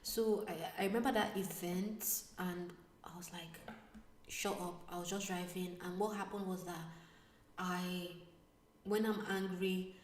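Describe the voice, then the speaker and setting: A woman vlogger telling a personal story in a casual, animated way, sometimes dropping to a whisper. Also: lowish voice, unhurried, far from the mic, slight background noise. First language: not Italian. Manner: animated, drops to a whisper for asides. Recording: echoey room, voice away from mic.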